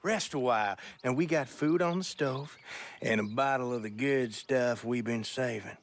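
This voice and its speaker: An actor playing a folksy, overfriendly, creepy backwoods farmer in a video game, with a Southern American accent and a theatrical, effusive way of talking. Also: male voice, medium pitch, lightly acted. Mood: jovial, coaxing. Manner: drawn-out, effusive, theatrical, giggly. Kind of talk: video game character acting.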